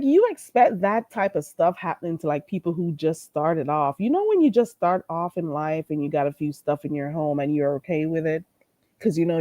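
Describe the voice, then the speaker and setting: A woman's voice: deep voice, warm tone, dry recording. A woman hosting a live stream, trading blunt, profane gossip and greeting her viewers, a little stuffy-nosed. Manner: greets and thanks viewers mid-talk. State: stuffy nose. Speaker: livestream host.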